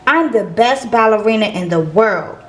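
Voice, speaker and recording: A woman's voice: on the high side, expressive, normal speed, soft background music, close to an everyday voice. A woman voicing a proud, impatient little girl who boasts about her dancing in a storybook. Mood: boastful, pleased.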